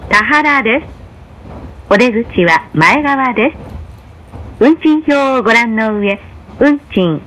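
Woman doing train announcements, formal n measured, voice a bit on the high side. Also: a bit bright, poor audio. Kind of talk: scripted in-car train announcement.

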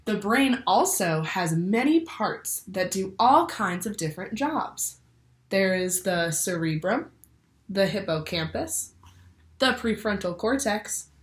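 A woman's voice: mid-range voice, animated, measured pace. A young woman reading a children's picture book aloud like a teacher, expressively, asking the listeners questions. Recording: roomy indoor echo.

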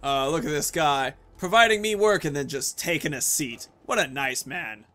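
A man's voice: a little high, normal speed, fairly bright tone. A man in his thirties giving casual, off-the-cuff commentary while playing a video game. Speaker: video game streamer, host.

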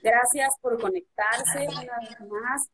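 High-voiced woman, earnest and measured. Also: fairly even intonation, fairly bright tone. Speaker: guest on a live stream. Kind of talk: earnest heartfelt monologue. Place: room with a blue backdrop. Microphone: webcam video call.

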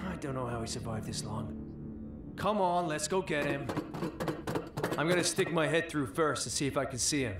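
A man's voice: a little high, animated, normal speed, long pauses, natural voice. A man playing a bold, exasperated young spacefarer in a sci-fi audio drama, blunt, sardonic and prone to swearing. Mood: mocking. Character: exasperated, foul-mouthed young spacefarer, bold best friend. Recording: roomy, distant clip with music underneath. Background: music.

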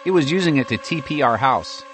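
A man voicing a spirited young schoolboy who talks eagerly and shouts back boldly. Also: medium pitch, expressive, fairly quick, slight background noise, pitched above the performer's own voice, lightly acted.

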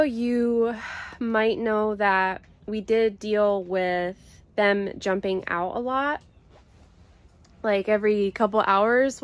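A woman in her thirties giving a casual, explanatory homestead vlog, unhurried and pausing mid-sentence. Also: mid-range voice. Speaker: homesteading vlogger. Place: outdoors in a covered chicken run and coop. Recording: outdoor ambient noise.

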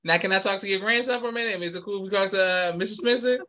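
An upbeat podcast host man chats over a video call with a high, bright voice. He laughs easily and keeps saying you can't go wrong. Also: rapid-fire.

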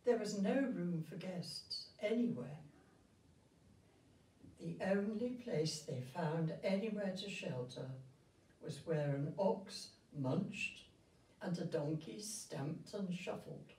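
An elderly woman reads a storybook aloud in a mellow voice. She takes her time, setting out each phrase deliberately with pauses. The microphone sits away from her, so the room is audible around her words. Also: on the low side. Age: older. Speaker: story reader. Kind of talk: reading a children's nativity story aloud. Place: indoor room, seated before a festive backdrop. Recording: roomy, distant microphone.

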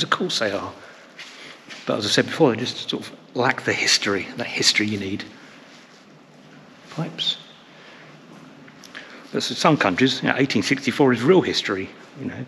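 A man's voice: medium pitch, a little hiss or hum. A man in his fifties giving an enthusiastic, rambling walking commentary while touring old churches, filmed with a handheld camera at arm's length. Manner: enthusiastic, rambling, softly spoken asides. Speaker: vlogger touring historic churches. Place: inside old stone chapels and churches. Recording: echoey church interior, long reverb.

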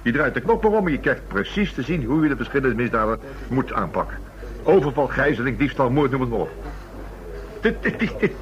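A man's voice: mid-range voice, big pitch swings, brisk, close to an everyday voice. An actor playing an exasperated policeman in a radio play, emphatic and complaining. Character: exasperated, animated male policeman grumbling about crime. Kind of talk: radio drama acting. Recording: muffled old radio recording.

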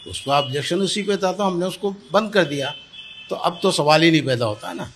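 A man's voice: a little high, lively intonation, a little fast. An older man, explaining his position in a news interview and repeating words for emphasis. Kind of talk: spoken news interview answers. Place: small indoor office. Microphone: handheld reporter's microphone held close. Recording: clear background room noise.

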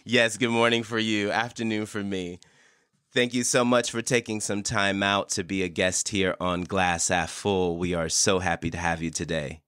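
A man hosting an interview podcast, introducing a guest and asking warm follow-up questions over a remote call. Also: low voice, normal speed, smooth, no room sound, studio quality. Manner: lively intonation, supportive listener. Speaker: podcast host.

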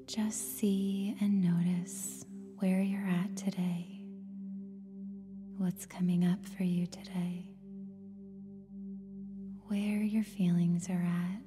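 A woman with a soft, breathy, near-whispered voice guides a meditation in a soothing, gentle way, leaving long pauses between phrases. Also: fairly low pitch, level tone. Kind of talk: guided meditation, scripted narration. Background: meditation music with singing bowl tones.

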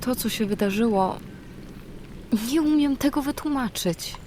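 A woman playing a troubled, fearful young draenei in a fantasy audio drama, speaking in a hushed, hesitant way. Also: on the high side, expressive, measured pace, a little hiss or hum. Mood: anxious, confessing.

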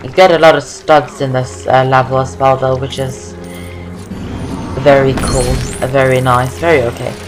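A young woman talks through a video game. She is chatty and rambling, often repeating herself, with music playing clearly behind her. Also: a bass voice, level tone, normal speed, low-quality recording. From Norway. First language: Norwegian. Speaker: gaming video host. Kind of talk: casual game walkthrough commentary.

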